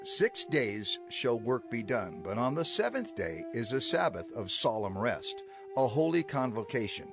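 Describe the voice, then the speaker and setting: Expressive man reading scripture over music. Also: mid-range voice. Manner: solemn, declarative, measured phrasing. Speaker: scripture reader. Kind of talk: dramatized Bible reading. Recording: clear music bed behind voice.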